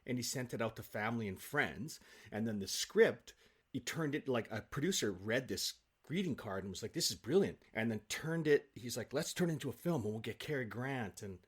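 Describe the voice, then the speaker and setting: A man in an interview, telling anecdotes with nerdy, animated enthusiasm. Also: medium pitch, brisk, slightly rough. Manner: enthusiastic, self-confessed film nerd. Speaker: guest, stage actor.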